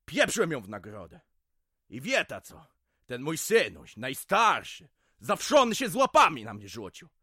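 A man's voice: fairly high pitch, wildly swooping pitch, moderate pace, pushed and bright, no echo, clean studio sound, full character voice. An actor playing a drunken, violent middle-aged village man in an audio drama, ranting vulgarly with swearing and threats. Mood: menacing, bitter.

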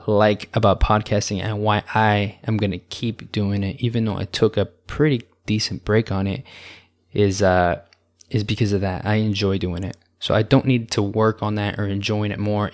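A young man hosting his own podcast, talking alone in a calm, easygoing way, rambling with many fillers and restarts. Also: lowish voice. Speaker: podcast host. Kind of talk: casual solo podcast monologue.

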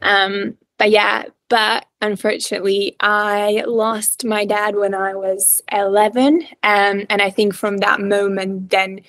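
A woman in her twenties from Latvia with a Latvian accent, a podcast guest telling her faith story reflectively, with many pauses and ums. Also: mid-range voice, level tone, a bit bright, no room sound. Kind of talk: personal faith story in an interview.

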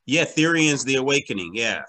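Man, interview guest, medium pitch, sharp bright voice, casual slangy talk, halting delivery. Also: animated. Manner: halting, repeats words, slangy, often says "man" and "you know". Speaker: interview guest, comic book creator.

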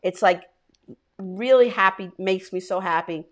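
A woman's voice: medium pitch, measured pace. A chatty New woman, a little tipsy, co-hosting a casual podcast. She laughs easily and keeps restarting and trailing off her sentences. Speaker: podcast co-host. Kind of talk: casual chat.

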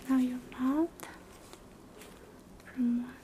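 A woman whispering softly and closely as a doctor in an ASMR roleplay, giving gentle, reassuring bedside talk into a binaural mic. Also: sits high, fairly even intonation, extremely slow, slight background noise. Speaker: ASMR creator role-playing a doctor.

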